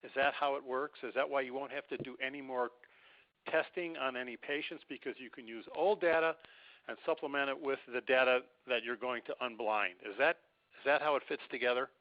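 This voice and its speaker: A man's voice: mid-range voice, brisk. A man calling into a conference call with informal, insistent questions, repeating himself to get confirmation. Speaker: caller asking questions. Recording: dull lo-fi phone line.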